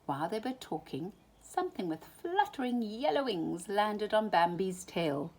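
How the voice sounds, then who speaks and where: A woman reading a children's story aloud in an animated, dramatic way, often dropping into hushed tones. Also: mid-range voice, a little slow. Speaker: storybook reader.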